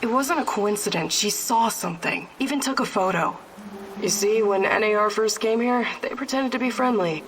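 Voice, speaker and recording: A woman's voice: medium pitch, normal speed, slight background noise, close to an everyday voice, low-quality recording. An actress playing a tough, no-nonsense female fighter in a video game, direct and plain-spoken. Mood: guarded, confiding. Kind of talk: video game character acting.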